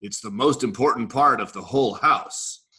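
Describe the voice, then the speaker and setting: A man's voice: mid-range voice, normal speed, bright, forceful tone. A man in a staged play reading, playing a macho G.I. Joe soldier doll, cocky and protective, with tough-guy endearments. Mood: protective, reassuring.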